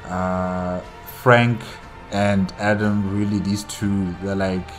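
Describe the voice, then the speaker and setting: A man giving casual solo reaction commentary as host, halting as he searches for words. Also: low-pitched, little pitch movement, faint music behind.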